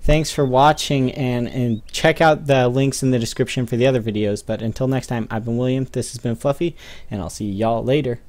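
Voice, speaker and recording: A young man hosts a gaming video in a casual, conversational style. His voice sits at a middle pitch, and he comments off the cuff on what he is looking at in the game. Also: steady tone, brisk, a little mellow.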